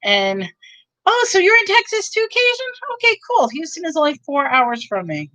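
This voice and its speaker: A woman's voice: sits high, wildly swooping pitch, normal speed, bright, forceful tone, no room sound, low-quality recording. A middle-aged woman with a New York accent, chatting with viewers on a livestream, rambling and correcting herself. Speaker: reseller livestream host. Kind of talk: casual live chat with viewers.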